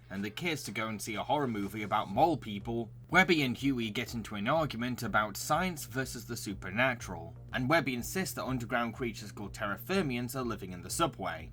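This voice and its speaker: Bright, forceful man presenting cartoon picks. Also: mid-range voice. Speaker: presenter of a cartoon recommendation video. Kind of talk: scripted review narration.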